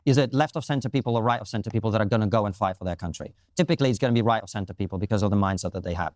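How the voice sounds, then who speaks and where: A man's voice: on the low side, rapid-fire, a little mellow, dry recording, studio quality. A man arguing in a debate, pressing his point and cutting in to finish it. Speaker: debate guest. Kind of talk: rapid argumentative debate.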